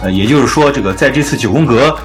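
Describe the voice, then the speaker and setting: A man speaks quickly in a mid-range voice as he hosts a casual music discussion. His intonation moves around a lot as he lays out his opinions, with faint music behind him. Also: mid-range voice, expressive. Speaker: music podcast host.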